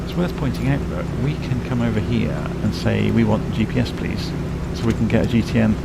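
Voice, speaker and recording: Tired, croaky-voiced man with gravelly tone. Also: fairly low pitch, brisk, heavy background noise. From England. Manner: rambling, explanatory, self-correcting. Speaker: flight sim streamer. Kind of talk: casual live-stream commentary.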